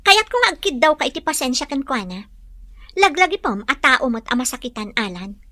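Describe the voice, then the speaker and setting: A woman's voice: sits high, big pitch swings, moderate pace, bright, forceful tone, no room sound, heavily acted. A woman acting a principled, firm young maiden reproving her suitor in a radio drama, with an Ilocano Filipino accent. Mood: reproachful.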